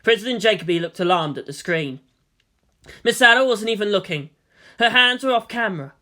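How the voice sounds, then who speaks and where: A man's voice: high voice, animated, a little fast, pushed and bright. A man giving a dramatic scripted narration of a fan fiction story.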